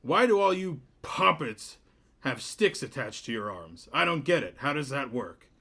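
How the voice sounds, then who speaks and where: High, pompous, mocking mayor. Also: male voice, sing-song, normal speed, gravelly, a bit bright, heavily acted. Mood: condescending. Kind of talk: comedy script table read.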